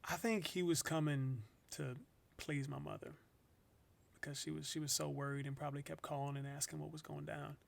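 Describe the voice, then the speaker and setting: Young wrongfully jailed man, gravelly edge. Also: a little high, steady tone. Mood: subdued, weary. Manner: halting, conversational, with small repetitions. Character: young wrongfully jailed man, earnest, bewildered, recounting his ordeal. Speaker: actor in a radio play. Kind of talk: dramatized first-person testimony with music.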